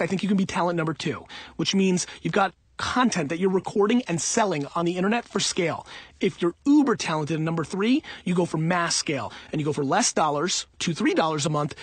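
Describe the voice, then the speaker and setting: A man's voice: high-pitched, slightly rough, no echo. A man of about forty giving blunt, emphatic, informal advice in an off-the-cuff monologue. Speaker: audiobook author answering listener questions.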